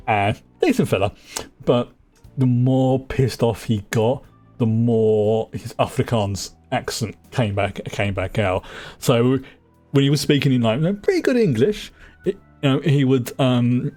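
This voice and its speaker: A man's voice: mid-range voice, unhurried, darkish tone, faint music behind. A man giving casual commentary while streaming a game live. He laughs often and trails off into restarts. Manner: reads on-screen dialogue aloud.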